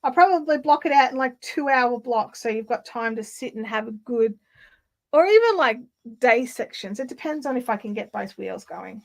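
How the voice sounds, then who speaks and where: A woman hosting a casual craft livestream, chatting in a rambling way with lots of fillers and restarts, her throat slightly raspy. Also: fairly high pitch, animated, normal speed. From Australia. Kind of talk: casual live chat while spinning. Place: home craft room.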